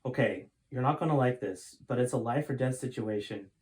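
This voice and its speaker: A man's voice: medium pitch, brisk, a little mellow, natural voice. A man voicing a determined, anxious father and time traveller in a storybook, earnest and emphatic.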